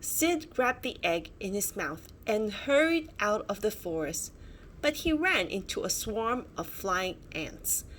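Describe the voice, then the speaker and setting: Animated, unhurried woman reading a story. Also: medium pitch, a little hiss or hum, poor audio. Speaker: storybook reader. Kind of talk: animated children's bedtime story narration.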